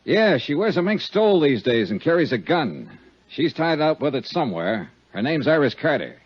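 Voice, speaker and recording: A man's voice: on the high side, big pitch swings, moderate pace, breathy edge. An actor playing a tough, dry male insurance investigator in a 1950s radio detective drama, both narrating and in dialogue. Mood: brisk, businesslike. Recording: dull 1950s radio recording, music behind.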